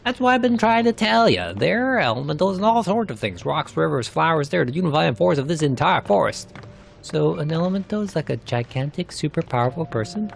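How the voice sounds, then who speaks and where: A man's voice: fairly high pitch, big pitch swings, brisk, gravelly, a little hiss or hum, pitched above the performer's own voice. A man voicing a brash, cheeky young adventurer from a fantasy game, teasing and exclamatory. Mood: exasperated.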